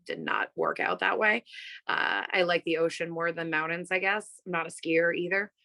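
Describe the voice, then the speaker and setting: A woman in her thirties talks casually over a video call from a room at home. Her voice sits a little low, and she answers in an upbeat, rambling way, full of "um" and "you know.". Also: moderate pace, gravelly, sharp tone. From Massachusetts, USA. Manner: chatty, many fillers, upbeat. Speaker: bookstore owner, guest.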